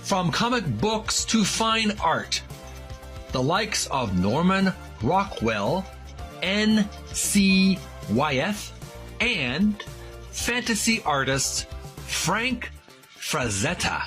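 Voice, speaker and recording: A man presenting and reading aloud as a video host, in a deliberate, emphatic way with broken phrasing. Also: high-pitched, very animated, a bit bright, faint music behind. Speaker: host of a video series on retro game art. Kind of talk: casual presenting and slow, halting read-aloud from a book.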